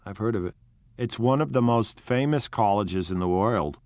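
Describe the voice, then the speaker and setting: A man voicing an older male gangster, gloomy and sentimental, with broken grammar and a slow, nostalgic way of talking. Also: lowish voice, darkish tone, no room sound, lightly acted. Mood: brooding. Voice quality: broken, ungrammatical speech.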